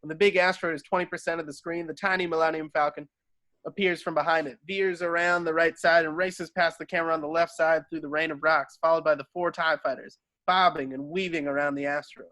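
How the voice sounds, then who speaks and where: A man's voice: on the high side, fairly even intonation, fast talker, pushed and bright. A man reading screenplay stage directions aloud as scripted narration.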